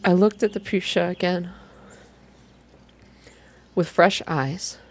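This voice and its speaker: A woman narrating first-person fiction aloud in a soft ASMR reading style, with deliberate, expressive storytelling. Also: fairly low pitch, slight background noise.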